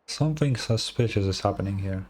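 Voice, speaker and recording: A man's voice: fairly low pitch, brisk, crowd noise. A man giving casual live commentary as he reacts to a video game, laconic and wry.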